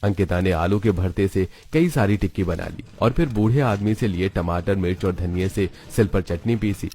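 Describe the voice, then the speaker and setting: Guy narrating a kids cartoon story, low voice, scripted storybook read. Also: normal speed, dark tone.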